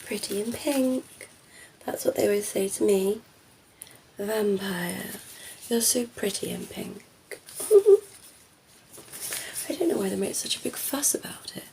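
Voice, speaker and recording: Woman playing a slow, creaky, flirty vampire lady. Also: medium pitch, a little mellow, a little hiss or hum, low-quality recording. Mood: playful, flirty. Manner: playful, coy, murmuring, often dropping into whisper. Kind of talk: ASMR roleplay. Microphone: close ASMR microphone.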